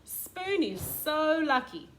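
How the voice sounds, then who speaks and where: Lady doing a talking cutlery pal in a kids book, wistful and emphatic, kinda high. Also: sing-song, slow pace, background noise, exaggerated voice. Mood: wistful, admiring. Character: admiring, over-serious cutlery friends of a spoon.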